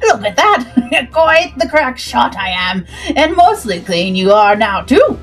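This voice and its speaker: A woman's voice: on the high side, very animated, takes it slowly, a bit bright, soft background music, exaggerated voice. A woman voicing a boisterous gap-toothed sailor in his late sixties, crowing in folksy, back-to-front sailor speech. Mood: gleeful.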